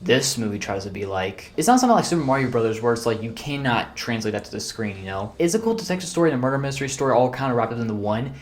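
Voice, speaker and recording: Fast-talking exasperated young male reviewer. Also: medium pitch, very animated. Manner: blunt, exasperated, sarcastic. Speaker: movie reviewer. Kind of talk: casual ranking commentary.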